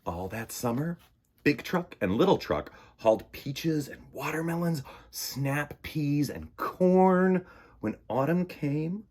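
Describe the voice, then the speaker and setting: A man's voice: fairly high pitch, expressive, takes it slowly, a little mellow. A man reading a picture book aloud to children with dramatic emphasis and stretched words. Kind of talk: animated children's story reading.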